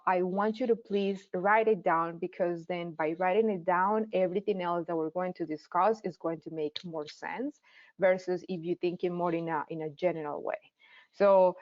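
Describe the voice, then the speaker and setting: A woman's voice: on the low side, no room sound. A woman in her thirties from Colombia with a Colombian Spanish accent, teaching a marketing class and giving the audience direct, encouraging instructions. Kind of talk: online class lecture. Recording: dull lo-fi sound.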